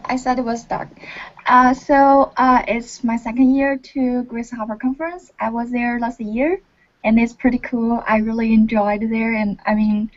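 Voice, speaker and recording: A woman from China, a PhD student, introducing herself in an online meeting, earnest and halting with many fillers. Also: on the high side, darkish tone. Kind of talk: casual online meeting chat. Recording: muffled online call audio.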